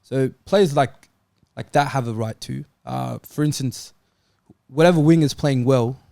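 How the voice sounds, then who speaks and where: Male football panelist, creaky voice, dry sound, casual debating style. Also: mid-range voice, measured pace, dark tone, no room sound. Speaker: football talk show panelist.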